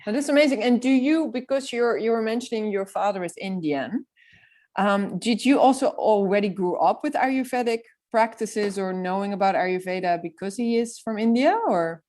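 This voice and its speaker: A woman's voice: medium pitch, normal speed, darkish tone. A woman hosting a podcast interview, chatty and eager, often restarting her sentences. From Netherlands. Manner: enthusiastic, chatty, many restarts. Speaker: podcast host. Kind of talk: casual interview.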